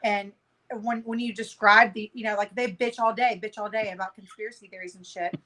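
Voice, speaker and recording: A woman's voice: medium pitch, steady tone, fairly quick, sharp tone, low-quality recording. A brash, emphatic woman telling things in a casual group chat over a video call, swearing freely. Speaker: panel guest.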